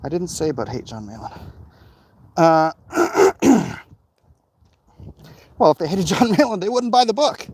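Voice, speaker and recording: A man chats casually and bluntly, rambling as he answers comments while exerting himself on a walk, clearing his throat now and then. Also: high voice, measured pace, pauses often, no echo. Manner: animated, blunt, opinionated. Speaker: livestream host answering viewer comments. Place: outdoors, walking along streets. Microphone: phone held in hand at arm's length. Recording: faint outdoor street and traffic noise.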